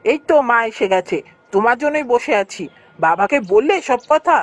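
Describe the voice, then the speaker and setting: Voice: mid-range voice, lively intonation, normal speed, faint music behind, lightly acted. An actor voicing a jealous, whiny boy prince in a fairytale cartoon, with a petulant, complaining delivery. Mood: anxious, questioning. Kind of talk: Bengali fairytale cartoon voice acting.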